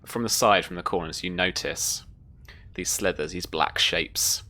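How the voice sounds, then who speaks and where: Low, bright-voiced woman running a game. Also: measured pace. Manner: casual, conversational. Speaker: game master. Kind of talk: improvised narration of a tabletop game.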